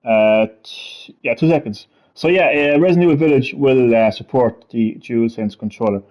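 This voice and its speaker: A man hosting a casual gaming stream, rambling, laughing often and reading web text aloud haltingly. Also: medium pitch, lo-fi sound. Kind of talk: casual gameplay chat.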